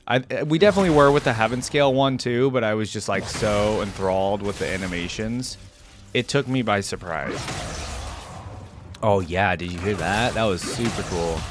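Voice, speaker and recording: A man giving casual, slangy, enthusiastic gaming reaction commentary, thinking out loud as he goes. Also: fairly low pitch, normal speed. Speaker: reaction video host. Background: game music.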